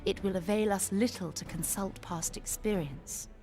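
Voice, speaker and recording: Game role: matter-of-fact female advisor voiced by a woman, medium pitch, formal and scholarly, over music. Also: moderate pace, a little mellow, natural voice. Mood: sober, informative. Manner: formal, precise archaic phrasing. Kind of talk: scripted video game voice acting.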